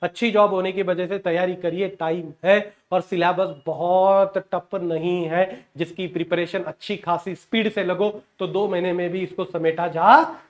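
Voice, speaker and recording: A man's voice: sits high, steady tone, a little slow, hardly any pauses. A man with an Indian accent lecturing as an exam coaching teacher, instructional, mixing in English terms and often checking in with short tag words. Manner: instructional, punctuates points with check words like "okay" and "right"; mixes English terms into speech.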